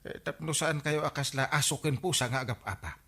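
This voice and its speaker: A man playing a genial, excitable village captain with an Ilocano accent in a radio comedy drama, full of exclamations. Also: fairly high pitch, fairly quick, creaky, husky, exaggerated voice. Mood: calming.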